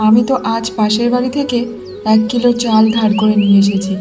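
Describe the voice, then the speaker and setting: A woman's voice: on the high side, fairly even intonation, fairly quick, music in the background, close to an everyday voice. A woman playing a poor, elderly village mother in a cartoon, speaking in a plaintive, affectionate nagging way. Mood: anxious, affectionate. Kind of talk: Bengali cartoon story dialogue.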